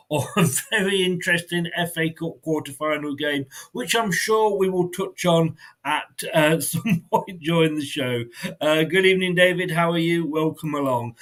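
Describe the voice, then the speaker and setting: A man from England hosting a fan-channel interview, upbeat and jokey, laughing often between his questions. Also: a little high. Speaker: host. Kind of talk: casual interview.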